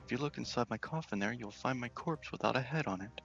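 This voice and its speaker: A man's voice: mid-range voice, fast talker, gravelly, fairly bright tone, dull audio, faint music behind. Man acting out a plaintive, lonely fantasy game ghost. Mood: glum. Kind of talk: game dialogue read in character.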